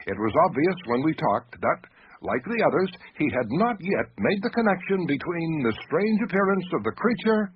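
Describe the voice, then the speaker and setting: Inquisitive mystery sidekick in a radio play, lively swooping higher voice, hissy dull tape. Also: male voice. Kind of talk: radio drama acting and scripted narration. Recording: dull, noisy old cassette tape.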